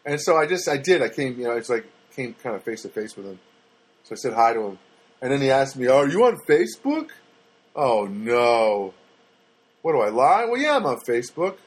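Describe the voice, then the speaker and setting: Husky guy rambling through a story, sarcastic, pitch jumping all over. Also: animated, a little fast, low-quality recording. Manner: rambling, sarcastic, many fillers and restarts. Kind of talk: casual storytelling monologue.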